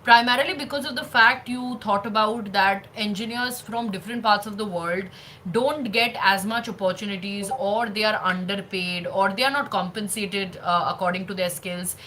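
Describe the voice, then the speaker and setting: A woman with a bright, forceful voice hosts an interview. She talks conversationally and at some length, padding her phrases, with faint noise behind her. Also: medium pitch, moderate pace, rough recording. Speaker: interview show host.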